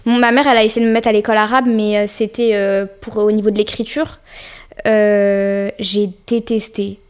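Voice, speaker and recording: A woman's voice: mid-range voice, level tone, normal speed, smooth. A young woman from France, a podcast guest, chatting casually and easily with plenty of fillers and slang. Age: young adult. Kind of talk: casual interview chat.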